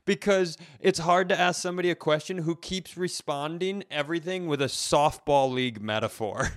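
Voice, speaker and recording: A man's voice: a little high, moderate pace, no echo, clean studio sound. A man co-hosting a comedy podcast, ranting casually with profane, sarcastic, storytelling delivery. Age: adult, parent of young child. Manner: profane, sarcastic, animated storytelling with mimicked quotes.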